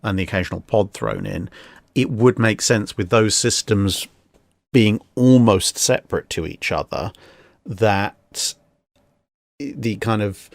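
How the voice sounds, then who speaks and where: A man's voice: fairly low pitch, takes it slowly, creaky, no room sound. A man chatting casually and analytically, rambling a little as he thinks aloud. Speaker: discussion guest.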